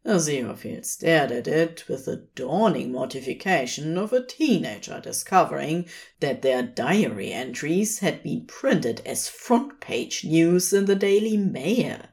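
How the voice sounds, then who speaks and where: A woman's voice: on the low side, a little mellow. A woman narrating scripted fiction expressively, as for an audiobook.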